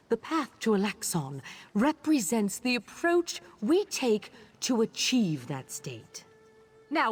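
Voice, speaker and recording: An actress playing a haughty, impatient high elf artist in a video game, fussy and precise. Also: female voice, husky, heavily acted. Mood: haughty, dismissive. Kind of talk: video game character dialogue. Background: game music.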